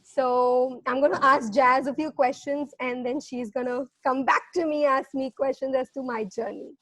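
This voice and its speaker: Bright, high-voiced woman from India hosting. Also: fairly even intonation, normal speed, no echo, rough recording. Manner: bubbly, eager interviewer with fillers. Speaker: co-host. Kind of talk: casual chat.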